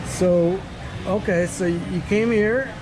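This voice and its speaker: A man's voice: high voice, fairly even intonation, slow, low-quality recording. An older, retired man hosting a casual interview, friendly and joking, saying "right" and "okay" often. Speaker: host, interviewer. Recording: outdoor street noise.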